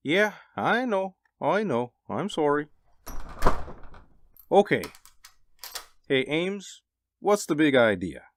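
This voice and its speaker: A performer doing a gruff, skeptical cop. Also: mid-range voice, very animated, takes it slowly, pauses often, noisy background. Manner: gruff, slangy, dismissive. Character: blunt, skeptical male police officer. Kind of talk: hobby radio drama acting.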